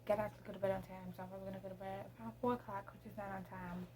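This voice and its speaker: A woman's voice: medium pitch, flat delivery, rapid-fire, warm tone, a little hiss or hum. A teenage girl vlogging at home, chatting casually to her camera, rambling with lots of ums. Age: teens. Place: room at home.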